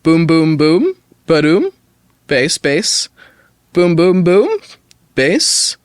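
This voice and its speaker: A man's voice: a little high, wildly swooping pitch, extremely slow, clean tone, no echo, clean studio sound, exaggerated voice. A man playing an overdramatic actor giving a mock dramatic reading of pop lyrics on a comedy podcast. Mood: theatrical. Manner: theatrical.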